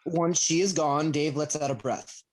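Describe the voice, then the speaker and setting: A man's voice: a little high, fairly even intonation, normal speed, a little mellow, poor audio. A man reading stage directions as scripted narration for a comedy play reading. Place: remote reading over a video call.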